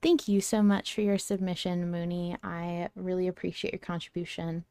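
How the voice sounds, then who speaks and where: A woman's voice: on the low side, level tone, moderate pace, a little mellow, smooth, dry recording. Woman podcast host, close and dry sound. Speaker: podcast host presenting listener voice submissions. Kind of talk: conversational podcast hosting.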